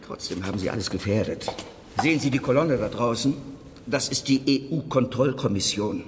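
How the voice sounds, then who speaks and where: A curt, officious site manager played by a man speaks in a radio crime drama. His voice sits at a middle pitch and is businesslike. It rings in a long hall reverb with faint noise behind it. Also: normal speed, lightly acted. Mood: stressed, curt. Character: brusque, officious male site security manager. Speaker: supporting character.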